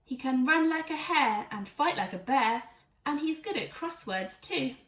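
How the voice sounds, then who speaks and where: A woman with a high voice reads a rhyming storybook to children. Her delivery is expressive and emphatic. The recording is roomy and slightly distant. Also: a little slow. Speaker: teacher reading to children. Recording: echoey room, away from mic.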